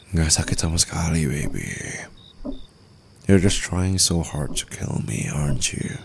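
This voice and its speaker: A man's voice: a bass voice, animated, takes it slowly, creaky, pauses often, a little hiss or hum, exaggerated voice. A man playing a dominant, teasing rich husband in an intimate ASMR roleplay, taunting and possessive, mixing Indonesian with English. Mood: smug teasing.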